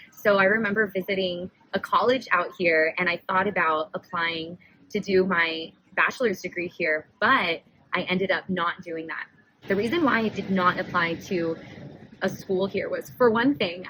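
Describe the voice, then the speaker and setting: A woman's voice: lowish voice, fairly bright tone, slightly rough, rough recording. A young woman in her twenties, hosting a podcast and telling a personal story in a chatty, rambling way, often correcting herself and laughing. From United States. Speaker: podcast host.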